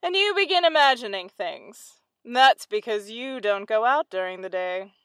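A woman's voice: fairly high pitch, expressive, moderate pace, a bit bright, clear, resonant tone, dry recording. A woman voicing a sly, greedy male cat in a fairy tale, with a dry, offhand drawl. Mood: dismissive.

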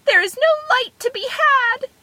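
A woman voicing a tiny, mischievous boy who calls out in a high, shrill, cheeky voice. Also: animated, unhurried, sharp tone, full character voice.

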